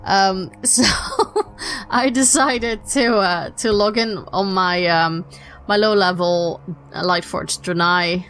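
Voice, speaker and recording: A young woman chats her way through a casual solo podcast. Her voice is slightly husky from a lingering illness, and she rambles along with lots of ums and quick self-corrections. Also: medium pitch, a bit bright, faint music behind. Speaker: podcast host.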